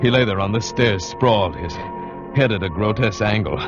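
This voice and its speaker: Low-pitched adventurer played by a man, dramatic halting suspense narration, old radio drama, muffled recording, music behind. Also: close to an everyday voice. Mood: dazed, suspenseful. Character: hard-pressed male adventurer narrating his own ordeal.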